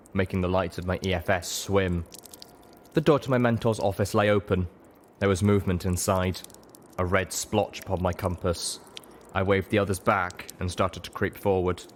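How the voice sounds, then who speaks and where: A man reading a dramatic story as an audiobook narrator, measured, solemn and emotive. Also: low voice, a little hiss or hum. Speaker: audiobook reader.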